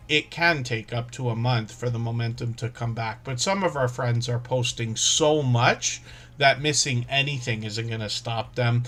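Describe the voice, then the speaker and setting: A man's voice: mid-range voice, pushed and bright. A man hosting a livestream for small creators, talking casually and at length, with many fillers and self-corrections. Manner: rambling, filler-heavy, restarts and self-corrections.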